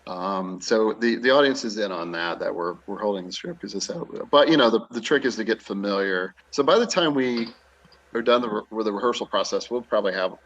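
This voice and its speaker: A man's voice: on the low side, animated, fairly quick, fairly bright tone, dull audio. A man talking casually in an interview as a theatre director and actor, explaining things in an informal, easygoing way.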